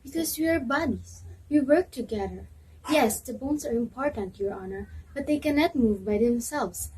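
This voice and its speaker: A proud muscular system argues its worth before a judge, read by a woman in an amateur educational play. The voice is high and markedly husky. The delivery is explanatory and self-important. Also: expressive, normal speed, darkish tone, poor audio. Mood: hurt, insistent. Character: proud, slightly hurt muscular system of a body testifying. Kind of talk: amateur reader's theater, scripted educational play.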